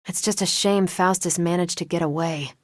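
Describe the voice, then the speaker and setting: An actress voicing a confident, wry, tough but kind superhero soldier in a video game, with an American accent and a dry, casual delivery. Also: female voice, lowish voice, steady tone, moderate pace, dry recording, natural voice. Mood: teasing. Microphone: close, dry studio microphone.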